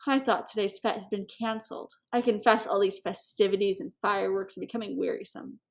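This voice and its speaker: A woman voicing an impulsive society hostess of about forty, animated and theatrical, in a storybook reading. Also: medium pitch, fairly quick, close to an everyday voice. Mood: teasing, weary. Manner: animated, impetuous, declamatory.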